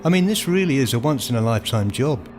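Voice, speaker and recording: Man, mid-range voice, measured formal delivery, first-person literary narration, radio play, music playing clearly behind. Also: medium pitch, lively intonation. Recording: voice over prominent music.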